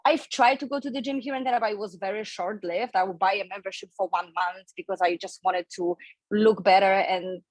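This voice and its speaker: A woman's voice: medium pitch, fairly even intonation, brisk, dry recording, rough recording. Candid Polish-accented woman in her thirties. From Poland. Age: 30s. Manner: chatty, candid, laughs between phrases. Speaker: guest, online fitness coach. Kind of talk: podcast interview storytelling.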